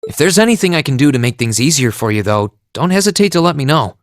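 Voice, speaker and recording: An actor playing an earnest, kind teenage hero in an anime game dub, sincere and considerate in manner. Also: male voice, a little high, sing-song, fast, no echo, studio quality. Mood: friendly, concerned.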